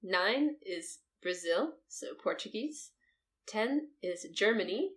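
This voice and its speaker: A woman's voice: mid-range voice, animated, slow, fairly bright tone. A woman co-hosting a podcast, chatting casually in an upbeat way and laughing often. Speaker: podcast co-host. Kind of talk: casual chat.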